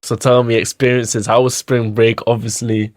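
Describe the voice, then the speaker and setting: A man's voice: mid-range voice, flat delivery, normal speed, no room sound, clean studio sound. A young man, asking questions in a laid-back, teasing, slangy way. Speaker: interviewer. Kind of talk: casual chat.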